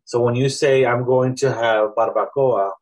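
A man from South Texas with a South Texas accent, a guest poet talking through his thoughts in a relaxed, reflective way with many pauses and restarts. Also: medium pitch, rough recording. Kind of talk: casual interview conversation.

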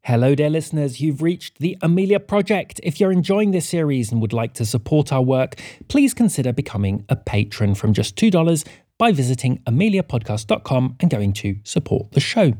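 Mellow, animated male podcast announcer. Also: mid-range voice, a little fast, no room sound, studio quality. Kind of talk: scripted announcement to listeners.

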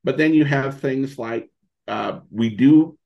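A man chats as an interview guest on a podcast, recorded with dull, lo-fi sound. His delivery is halting and meandering, often doubling back on phrases, at an ordinary mid-range pitch. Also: vocal fry. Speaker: podcast guest and old-time radio podcaster. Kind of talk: casual interview chat about a hobby.

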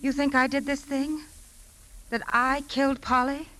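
An actress playing a composed, guarded woman under police suspicion, in measured and strained 1950s radio drama style. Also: high voice, level tone, sharp tone. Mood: distressed, pleading. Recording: noisy 1950s radio broadcast recording.

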